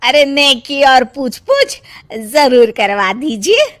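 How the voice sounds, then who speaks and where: A woman's voice: high voice, big pitch swings, takes it slowly, heavily acted. A woman voicing a fussing, easily impressed middle-aged mother in a cartoon, emphatic and eager.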